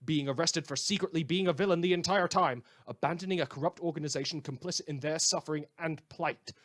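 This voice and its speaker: A man's voice: on the high side, fast talker, a bit bright, lightly acted. A man playing a sardonic radio newsreader in a post-apocalyptic world, reading scripted satirical news with dry, rambling asides. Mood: dry, deadpan. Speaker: radio host.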